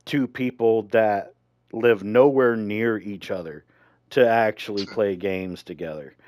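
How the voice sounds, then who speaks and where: A man's voice: fairly low pitch, a little slow, darkish tone, dry recording. A man co-hosting a podcast, chatting casually and informally with a friend, with some swearing. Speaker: podcast co-host. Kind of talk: casual rambling chat with a friend.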